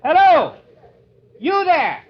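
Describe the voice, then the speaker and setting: An actor playing a timid, lovestruck young accomplice, earnest and theatrical, in a 1950s radio drama. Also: male voice, very high voice, sing-song, drawn-out words, exaggerated voice. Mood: calling out. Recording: muffled vintage radio recording.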